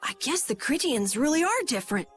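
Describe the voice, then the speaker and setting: Game heroine, blunt teenage girl, high and animated, matter-of-fact, music underneath. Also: fairly quick, husky, full character voice. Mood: dry, matter-of-fact. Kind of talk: video game voice acting.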